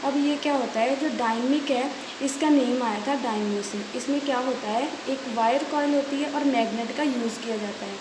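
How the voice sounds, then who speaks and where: High-pitched Indian woman lecturing, echoey recording. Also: fairly quick, hardly any pauses. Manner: checks in often with short confirming tags. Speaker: polytechnic electronics lecturer. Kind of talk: lecture, reading out notes and explaining them. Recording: echoey room with hiss and noise.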